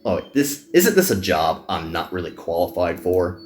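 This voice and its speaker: A man's voice: low voice, sing-song, moderate pace, soft background music. A man reading a reluctant, self-deprecating young male magician recruit from a visual novel, dry and downbeat. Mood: doubtful.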